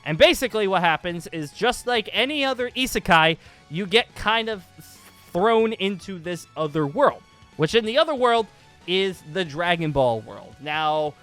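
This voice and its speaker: Male YouTube host, slightly high voice, informal and rambling gaming announcements, music underneath. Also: lively intonation, moderate pace, a bit bright. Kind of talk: casual, excitable gaming announcement vlog.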